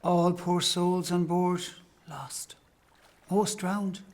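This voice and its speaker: A man's voice: sits high, steady tone, very slow, a little mellow. A man playing a kindly, solemn Irish priest in an audio play, speaking in archaic, declamatory phrases.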